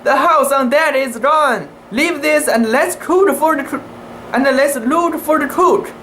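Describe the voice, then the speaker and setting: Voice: high voice, measured pace, a bit bright, slight background noise, voice pushed up. An amateur reader voicing a young sailor calling out urgently to his crew in a storm, in a dramatic story reading. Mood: urgent shouting.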